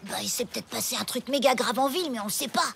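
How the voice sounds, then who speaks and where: High-voiced earnest little schoolboy. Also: female voice, expressive, rapid-fire, gravelly, fairly bright tone, slight background noise. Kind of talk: French cartoon acting.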